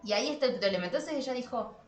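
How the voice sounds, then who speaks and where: An expressive, emphatic woman with an Argentine accent, talking casually as a podcast host. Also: fairly high pitch, racing. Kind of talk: casual podcast discussion about translation. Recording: echoey room with faint noise.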